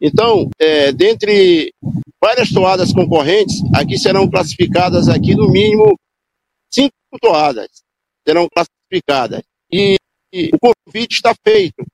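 A man's voice: high voice, sing-song, a little slow, creaky, darkish tone, rough recording. A man, reporting live for radio in a loose, improvised way with restarts and self-corrections. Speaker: field reporter.

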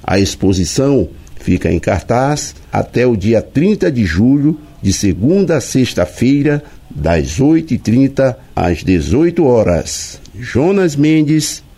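Mellow-voiced male news reporter. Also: medium pitch, sing-song, breathy edge. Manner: measured narrating delivery.

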